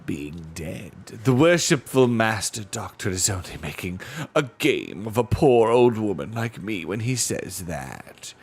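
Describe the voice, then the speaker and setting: Storybook crone, male reader: old, whiny, shrill, falsely sweet and fawning, very changeable pitch. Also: gravelly, slightly rough, exaggerated voice.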